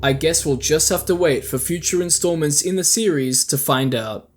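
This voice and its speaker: A man's voice: fairly high pitch, normal speed. A man delivering scripted video essay commentary in a conversational, opinionated way. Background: background music.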